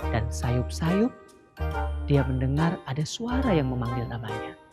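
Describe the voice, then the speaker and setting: A woman telling a children's story in an animated, sing-song way, addressing the children directly. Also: very deep voice, measured pace, over loud music.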